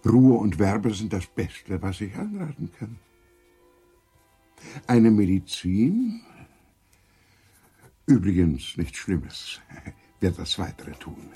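A man in a radio play acting an old, worldly-wise doctor, gravelly, courteous and shrewd, speaking in a measured, formal way. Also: on the low side, big pitch swings, warm tone, faint music behind, heavily acted. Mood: professional, measured. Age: older.

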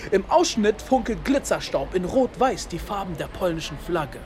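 A man's voice: high-pitched, big pitch swings, moderate pace. A man playing a street-smart narrator in a radio drama, telling a gritty story in rhythmic, staccato phrases. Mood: animated storytelling. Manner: rhythmic, staccato spoken-word delivery in short phrases. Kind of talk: scripted radio drama narration in second person. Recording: loud music bed, urban street sounds.

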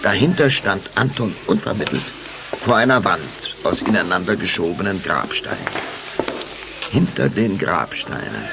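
A man tells a children's story in a low voice with a gravelly edge. His scripted narration is dramatic and deliberate, with lively rises and falls. There is noise behind him.

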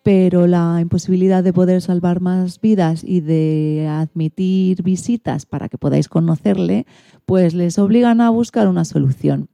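A woman's voice: lowish voice, steady tone, normal speed, gravelly, mellow, dry recording. A woman presenting a radio magazine programme from a script.

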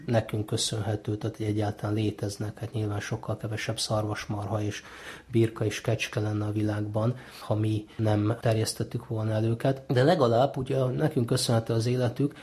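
A man explains his thinking in unscripted talk. His voice is on the low side, mellow and fairly flat in its melody.

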